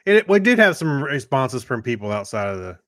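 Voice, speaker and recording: A man of average pitch co-hosts a podcast in an easygoing, good-humored manner. He chats casually and reads comments aloud, recorded close with very little room sound. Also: very animated, normal speed, fairly bright tone, no room sound. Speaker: podcast co-host. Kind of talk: casual chat, reading listener comments.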